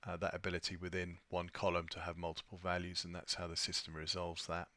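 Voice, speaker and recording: Low-pitched man presenting, bright dry sound. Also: completely flat delivery, fast talker, no echo. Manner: hesitant, thinking aloud. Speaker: software tutorial presenter. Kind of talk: unscripted screen-share walkthrough.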